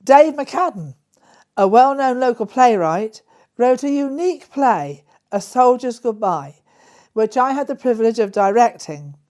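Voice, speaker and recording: A woman's voice: a little high, animated, measured pace, a little mellow. A woman reading scripted narration for a local documentary.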